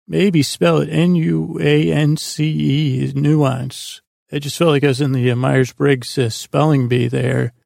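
A man with a creaky, gravelly voice hosting a sleep podcast in a meandering, halting, self-deprecating monologue full of tangents. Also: a little high, flat delivery, darkish tone, no echo, clean studio sound. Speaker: podcast host.

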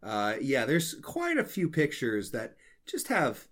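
Lively-pitched man chatting playfully on a podcast. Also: takes it slowly. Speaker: podcast co-host. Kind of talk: casual comedic podcast chat.

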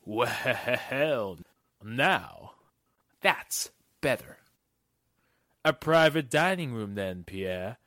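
A man acting a wealthy, imperious and fussy gentleman in a radio drama, with a pompous, haughty delivery. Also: mid-range voice, sing-song, extremely slow, long pauses, no echo, studio quality, heavily acted. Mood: smug, fussy. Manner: pompous, haughty, wheedling menace. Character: wealthy, imperious, fussy club owner demanding special treatment.

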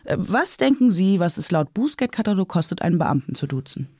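Woman acting a halting, dry-miked police officer. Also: fairly low pitch, very animated, dark tone, husky, no echo, exaggerated voice. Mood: dry, matter-of-fact. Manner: halting, repeats words and phrases. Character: weary, defensive police officer justifying the job. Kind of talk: naturalistic interview in an audio drama.

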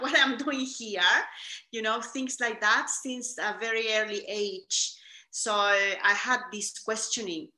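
Slow, reflective woman. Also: medium pitch. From Chile. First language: Spanish. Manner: soft-spoken, reflective, halting with fillers and restarts. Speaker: guest. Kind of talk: podcast interview. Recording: echoey room.

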